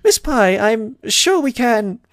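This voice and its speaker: A man voicing a polite, motherly pony mare mayor with a formal, measured manner in a cartoon game. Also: very high voice, sing-song, fairly bright tone, no echo, soft background music, pitched above the performer's own voice, exaggerated voice. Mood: gently reassuring.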